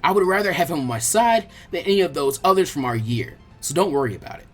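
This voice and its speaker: A man puts on a young ninja girl for a storybook reading, raised in pitch and animated. She is friendly, frank and casually encouraging. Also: quick pace, faint music behind. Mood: reassuring. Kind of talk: fan fiction audiobook character voice.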